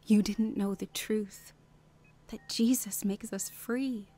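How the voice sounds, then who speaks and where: An actress playing a gentle, reassuring woman of faith in a radio drama, speaking tenderly and earnestly. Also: medium pitch, steady tone, takes it slowly.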